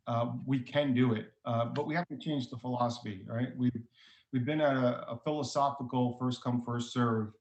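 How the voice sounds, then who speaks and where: A man giving an explanatory answer as a witness at a formal hearing. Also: mid-range voice. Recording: echoey, dull lo-fi room audio.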